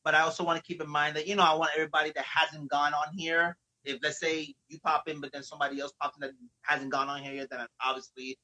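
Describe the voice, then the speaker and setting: A man's voice: on the high side, little pitch movement, fast talker, hardly any pauses, pushed and bright, low-quality recording. A man hosting a live poetry stream, chatting in a rambling, friendly way with lots of fillers. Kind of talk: casual live-stream chat.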